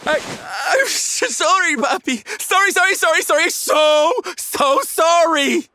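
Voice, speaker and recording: Flamboyant, expressive young circus showman. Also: very high-pitched, slow pace, full character voice. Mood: nervous, apologetic. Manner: theatrical, emotional, expressive. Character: flamboyant, sentimental young male circus performer and would-be knight. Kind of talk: video game cutscene voice acting. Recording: music behind the voice.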